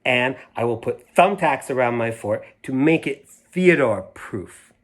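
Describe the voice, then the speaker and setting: A man's voice: mid-range voice, animated. Man reading as an indignant, stubborn little girl. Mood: defiant. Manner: emphatic, deliberate, self-righteous. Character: indignant, stubborn, dramatic little girl narrating her grievances.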